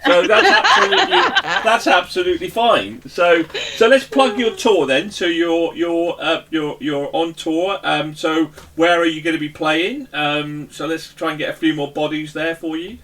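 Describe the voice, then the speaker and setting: A man's voice: a little high, lively intonation, normal speed, few pauses, fairly bright tone, poor audio. A man hosting a casual interview in a jokey, rambling way, often repeating himself. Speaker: interview host.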